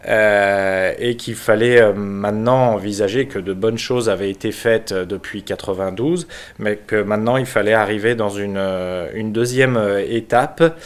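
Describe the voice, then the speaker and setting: A man from France who is a local mayor, giving an unscripted explanation in a halting, hesitant manner with frequent pauses. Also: lowish voice, clean tone. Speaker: village mayor and local councillor.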